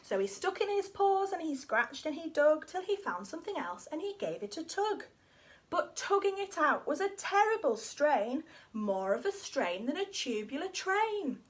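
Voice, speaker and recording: A woman reading a rhyming children's story aloud in an expressive, sing-song way. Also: sits high, normal speed.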